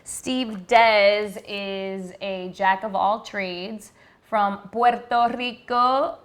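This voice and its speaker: A woman's voice: mid-range voice, fairly even intonation, very slow. A woman hosting a podcast, chatting in an upbeat, easygoing way and laughing easily. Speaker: podcast host. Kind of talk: casual chat.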